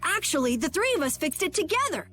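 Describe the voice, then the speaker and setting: A woman voicing an excitable, boastful young boy in a video game, earnest and whiny, easily flustered. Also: extremely high pitch, fast, soft background music, exaggerated voice. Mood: surprised. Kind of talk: English video game dub acting.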